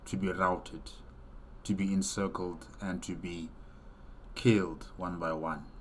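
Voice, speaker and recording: A man's voice: low-pitched, flat delivery, slow. A man hosting a reaction video with casual, off-the-cuff commentary.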